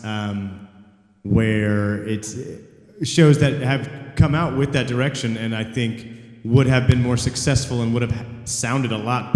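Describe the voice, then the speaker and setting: A man's voice: medium pitch, darkish tone. A male voice actor on a convention panel, speaking candidly and a bit frustrated. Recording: echoey convention hall.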